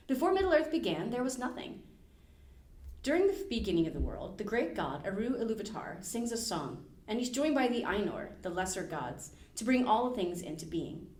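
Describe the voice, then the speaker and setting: A woman's voice: mid-range voice, a little mellow. A woman hosts a library podcast and reads a scripted overview with plenty of rise and fall in her voice. She drops in casual, wry asides, and the room around her has a noticeable echo. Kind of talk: scripted narration of lore. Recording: roomy echo.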